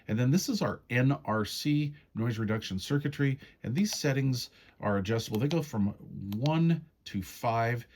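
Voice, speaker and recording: A man's voice: medium pitch, moderate pace, hardly any pauses, a little mellow. A man giving a casual, hands-on product walkthrough in a folksy, step-by-step, conversational way. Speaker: radio reviewer demonstrating menu settings.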